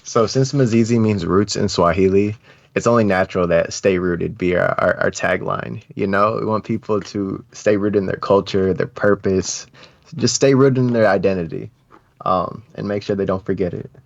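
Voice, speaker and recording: A man's voice: deep voice, normal speed, creaky, no room sound. A young American man talking casually and thoughtfully as an interview guest, in informal, slangy speech. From United States. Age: young adult. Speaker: interview guest, brand founder. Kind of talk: casual reflective interview talk. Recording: slightly dull, dry audio.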